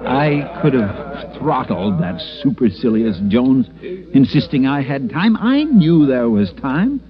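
An actor playing a timid, fussy, earnest store clerk in a 1940s radio drama. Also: male voice, mid-range voice, sing-song, slow pace. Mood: self-satisfied, confiding. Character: timid, prim department-store drapery clerk narrating his cruise. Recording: muffled old radio recording with music behind.

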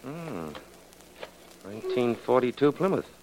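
Steady government investigator, 1940s radio drama. Medium pitch, expressive, amiable and businesslike. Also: male voice, a little slow, slight background noise, rough recording. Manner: businesslike, matter-of-fact. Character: steady, amiable male federal agent.

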